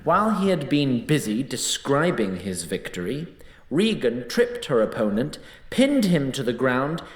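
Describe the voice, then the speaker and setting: Theatrical male narrator with very animated delivery. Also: medium pitch, roomy sound. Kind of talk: dramatic scripted storytelling narration.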